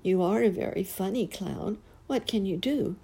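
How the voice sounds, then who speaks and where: A woman's voice: lowish voice, sing-song, dark tone, a little hiss or hum. A woman voicing an emotional little girl in a storybook tale. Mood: doubtful. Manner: halting, sobbing stammer when upset. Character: little girl, tearful, doubtful, then delighted.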